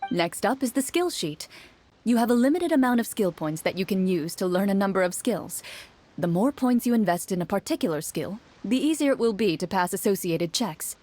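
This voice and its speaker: Woman as composed game master, mid-pitched. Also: expressive, a little mellow, natural voice. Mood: helpful. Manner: measured, instructive. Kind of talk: anime game voice acting.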